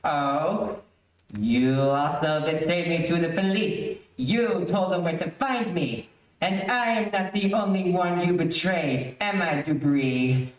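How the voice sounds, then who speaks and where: An actor playing a vengeful, cold older convict, accusing and theatrical, in old-time radio melodrama. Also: male voice, on the high side, takes it slowly. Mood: cold menace. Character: vengeful gray-bearded escaped convict, cold and menacing. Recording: very echoey room, distant mic.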